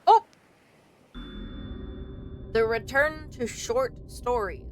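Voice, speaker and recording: A woman giving casual, expressive let's-play commentary as a gaming streamer, informal and prone to swearing. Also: on the high side, drawn-out words, soft background music.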